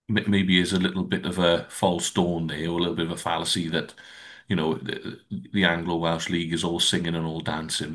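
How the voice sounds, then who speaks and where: Man, very low voice, narrow intonation, casual podcast panellist, measured and matter-of-fact. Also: a bit bright. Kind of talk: casual rugby discussion.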